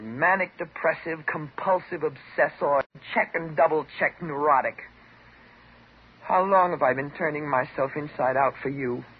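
An actress playing a bitter, neurotic psychiatric patient, sardonic and intense, in a 1950s radio drama. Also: female voice, low voice, husky, heavily acted. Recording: muffled, noisy 1950s radio recording.

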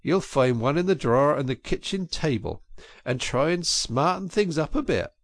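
A man voicing a cheery storybook water rat, bossy and hearty, lively swinging pitch, gravelly edge. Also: moderate pace, dry recording, clean studio sound. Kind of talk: storybook reading.

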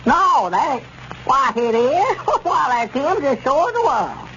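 High pitched old southern storekeeper, folksy n grumbly, old muffled 1930s radio comedy. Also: male voice, very animated, unhurried, pushed and bright, full character voice. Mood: amazed. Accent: rural Southern US. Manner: homespun, plain-spoken, grumbling. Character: folksy, easily puzzled old country storekeeper. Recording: dull, noisy 1930s radio recording.

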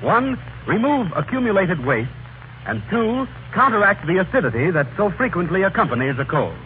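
A man reading a vintage radio commercial in a measured, instructive way, on a thin, crackly recording. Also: high voice, big pitch swings, narrow, tinny audio. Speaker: commercial announcer. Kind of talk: 1940s scripted radio commercial.

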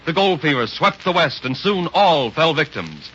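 A man's voice: on the high side, big pitch swings, moderate pace, sharp tone. Male vintage radio narrator, declamatory and punchy, on a muffled recording with faint hiss. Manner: grand, declamatory. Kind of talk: 1930s dramatic radio narration.

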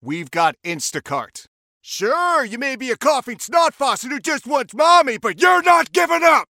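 An actor playing a dad who gives an overblown, rousing coach-style pep talk in a comic commercial. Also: male voice, very high-pitched, sing-song, moderate pace, no room sound, studio quality, full character voice. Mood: rousing, intense. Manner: shouting, rousing, mock-dramatic.

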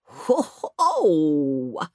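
A big, jolly polar bear gentleman from a storybook, played by a woman reader, booming and hearty. He speaks slowly with lively swings. The sound is close and dry. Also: vocal fry, no room sound, full character voice.

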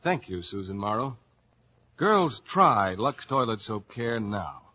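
A man's voice: lowish voice, very animated, takes it slowly, bright, forceful tone, husky. A man with an American accent announcing in a polished 1950s radio commercial style. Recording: dull old radio recording.

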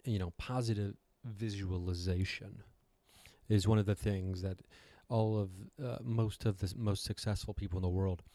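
Guy talking casually, mellow and a bit raspy, rambles with self-deprecating asides, dry close sound. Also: fairly low pitch, steady tone, normal speed, dry recording. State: slightly raspy from singing. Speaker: host giving a talk on meditation. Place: hotel room.

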